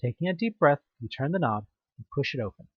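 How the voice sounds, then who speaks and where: A man's voice: fairly high pitch, animated, quick pace, breathy edge, no echo, dull audio. A young man reading a second-person horror audioplay aloud, building suspense and now and then tripping over a word. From Houston, United States. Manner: tense, suspenseful storytelling with occasional slips. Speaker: narrator.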